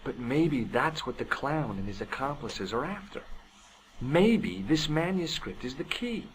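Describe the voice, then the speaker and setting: A wisecracking young man in a video game, with a lively, swinging intonation at an average pitch. He is curious, wry and a little defensive. Faint noise sits behind the voice. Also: a little slow, rough recording.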